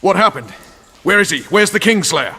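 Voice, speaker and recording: Game soldier: brusque commander, husky, tense voice with animated pitch, reverberant sound. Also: male voice, moderate pace, full character voice. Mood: urgent. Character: brusque, urgent male military commander. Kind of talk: video game voice acting.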